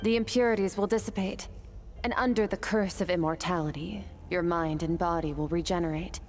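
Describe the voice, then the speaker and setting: A woman's voice: on the low side, fairly even intonation, brisk, darkish tone, lightly acted. An actress playing a cool-headed, resolute swordswoman in a video game, with composed, formal and matter-of-fact delivery. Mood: cool, firm. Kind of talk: dramatic video game acting. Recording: game music behind the voice.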